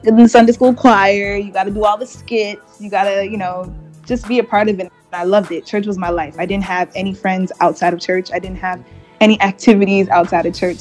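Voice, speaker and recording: A woman's voice: mid-range voice, a little mellow. A woman in her twenties from Montreal with a Canadian accent, chatting candidly and casually as an interview guest. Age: 20s. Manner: chatty, candid, laughs easily. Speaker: interview guest, gospel singer.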